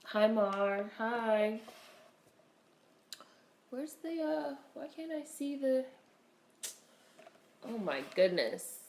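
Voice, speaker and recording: A woman's voice: fairly high pitch, extremely slow, long pauses, slight background noise. Stuffy-nosed woman just chatting with her stream, laid back, kinda roomy echo. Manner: chatty, relaxed, swears casually. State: congested with a cold. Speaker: livestream host. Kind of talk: casual livestream chat greeting viewers.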